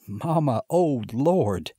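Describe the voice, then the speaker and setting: Guy reading as a giddy young clerk, higher voice, gushing and breathless, dry close sound. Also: extremely slow, slightly rough, no echo, exaggerated voice. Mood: elated. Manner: excited, gushing, tumbling exclamations. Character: overjoyed young male clerk, breathless with excitement. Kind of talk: audiobook character reading.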